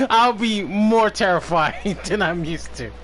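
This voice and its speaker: A man's voice: sits high, takes it slowly. A man giving casual, rambling live gameplay commentary, swearing and prone to giggling. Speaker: game streamer.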